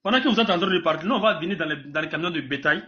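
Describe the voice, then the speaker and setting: Very fast-talking Burkinabé man, lo-fi sound. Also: a little high, lively intonation. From Burkina Faso. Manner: emphatic, repetitive, stumbling over words. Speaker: debate participant. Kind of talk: impromptu political debate on a live stream. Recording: dull lo-fi live stream audio.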